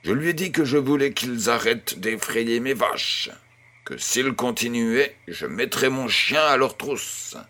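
A man playing a kindly grandfather who tells a bedtime tale in a measured, gentle, husky voice. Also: mid-range voice, animated, slight background noise. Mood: storytelling, wondering. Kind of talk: scripted audio storytelling.

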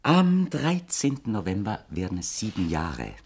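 A man's voice: fairly low pitch, sing-song, measured pace, slight background noise. A man playing an urbane, slyly polite young bachelor in a 1960s radio play, speaking in a courteous, mannered and hesitantly ironic way. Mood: suave. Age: young adult.

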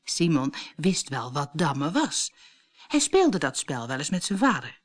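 A woman narrating a scripted children's story in an expressive storytelling manner. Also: low-pitched, unhurried. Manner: expressive storytelling, acts out dialogue. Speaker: audiobook narrator.

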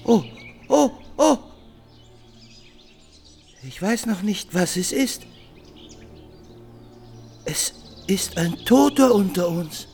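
An actor playing a fearful, anguished blind hospice inmate in a radio play, halting and solemn. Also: mid-range voice, animated, a little mellow, faint music behind. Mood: horrified, urgent. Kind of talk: German radio play acting.

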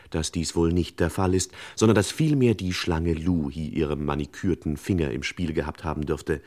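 A man's voice: low-pitched, darkish tone. A man playing the wry, ironic narrator of a radio crime comedy serial, with a mock-pompous delivery.

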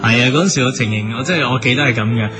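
A man's voice: mid-range voice, rapid-fire. A man with a Hong Kong Cantonese accent, chatting comically as a boy band member on a music show. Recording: music behind, radio-like sound.

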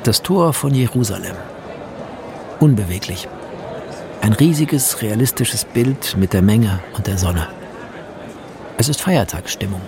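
A man narrating a radio drama, reading out film scene directions in a terse, deliberate, descriptive manner. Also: fairly low pitch, expressive, vocal fry, background noise.

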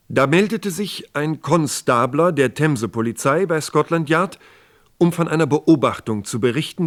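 Man narrating an old-school crime radio play, medium voice, formal and measured. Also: mid-range voice.

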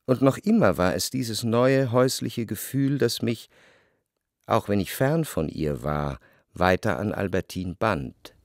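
An actor playing a refined, jealous, brooding man who narrates his memories in a measured, articulate radio play performance. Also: fairly low pitch, expressive, no echo, studio quality. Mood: wistful, brooding.

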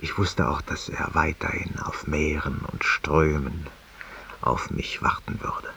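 A man's voice: a bass voice, steady tone, measured pace, gravelly, sharp tone, a little hiss or hum, full character voice. An actor playing a world-weary, eloquent, guilt-ridden man who confesses intimately to an unseen companion in a 1950s radio drama monologue. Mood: haunted, brooding. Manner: intimate, rhetorical, addressing an unseen companion, sometimes dropping to a whisper. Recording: muffled, distant 1950s radio recording.

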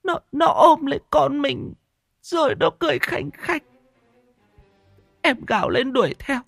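A man voicing a young wife who is crying and stammering in panic, in a high, breaking voice. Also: animated, unhurried, gravelly, fairly bright tone, soft background music, exaggerated voice. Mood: terrified.

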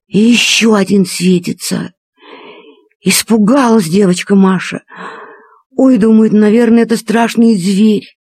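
A woman narrating a children's story expressively, in a deliberate, dramatic storytelling manner. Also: on the low side, darkish tone, breathy edge, dry recording, studio quality. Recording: cassette transfer with music behind.

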